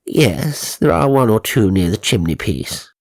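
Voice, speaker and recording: A man's voice: lowish voice, sing-song, measured pace, no room sound, studio quality. A man voicing a wheedling, fraudulent female spirit medium, gushing and coaxing, in a ghost story. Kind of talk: dramatised audiobook ghost story.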